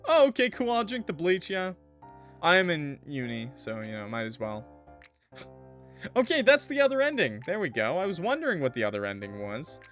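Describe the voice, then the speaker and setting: Young man gaming, voice going a bit hoarse. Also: on the high side, very animated, a bit bright, faint music behind. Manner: chatty, rambling, quick to laugh. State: losing his voice. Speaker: gaming YouTuber. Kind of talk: casual let's-play commentary.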